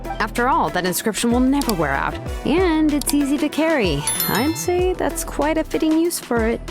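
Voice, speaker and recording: A woman's voice: high voice, sing-song, normal speed. An actress playing a laid-back, practical young woman blacksmith in a video game, dry and matter-of-fact. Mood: teasing, helpful. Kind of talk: English video game voice acting. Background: music.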